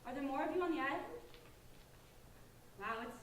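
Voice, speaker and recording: A woman's voice: high-pitched, normal speed, fairly bright tone. A woman acting a protective, curious sixteen-year-old older sister in a staged play reading. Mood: amazed. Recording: echoey room, distant mic, faint noise.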